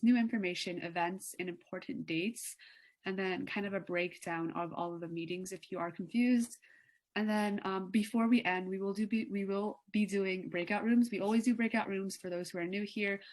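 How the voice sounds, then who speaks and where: A young woman runs a student meeting on a video call. She sounds easygoing and leans on filler words. Her pitch sits in the middle. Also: normal speed. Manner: relaxed, filler-heavy. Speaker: meeting leader. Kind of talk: casual online meeting.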